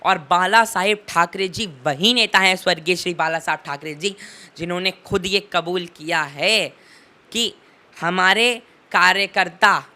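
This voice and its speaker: Bright-voiced teenage boy, emphatic political speaker. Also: sits high, a little slow. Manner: emphatic, rhetorical, oratorical repetition. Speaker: interviewee, young political commentator. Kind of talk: impassioned political commentary in an interview.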